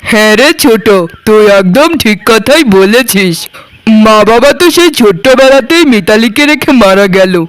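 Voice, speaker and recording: A man's voice: high-pitched, animated, normal speed, a bit bright, smooth, no room sound. A man voicing a hardworking village farmer in a cartoon, an earnest brother who talks affectionately. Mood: devoted, earnest. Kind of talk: village moral-story cartoon acting.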